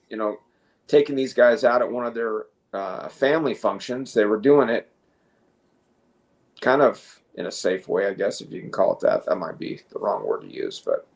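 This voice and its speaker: A man co-hosting a news commentary show, speaking casually and haltingly, opinionated, at times reading from notes. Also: medium pitch. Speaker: co-host.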